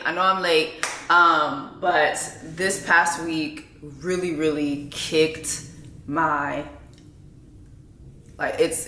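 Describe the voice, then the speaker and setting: A woman's voice: deep voice, bright, forceful tone, reverberant, slight background noise. A young adult woman from Canada, a native Haitian Creole speaker, chatting casually and loosely to her viewers as a vlogger and TV co-host. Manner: chatty, loose, self-deprecating, rambling asides. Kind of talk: casual chat to camera.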